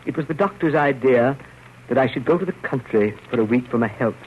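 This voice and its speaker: A man acting as a fussy, anxious gentleman in an old radio play. He speaks in a prim, halting way with a rather high voice for a man. The recording is dull, with faint noise. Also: expressive, natural voice. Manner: prim, halting, throat-clearing. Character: nervous, fussy, proper gentleman on a rest cure. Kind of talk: 1930s radio drama acting, first-person narration. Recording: muffled, noisy old radio broadcast.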